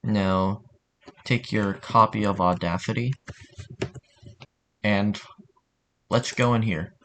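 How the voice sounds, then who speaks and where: A man with a fairly low voice walks through a tutorial step by step. He speaks informally and offhand, like someone casually showing a friend how it is done. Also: fairly even intonation, takes it slowly, a bit bright. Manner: informal, offhand, mildly sweary. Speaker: tutorial narrator.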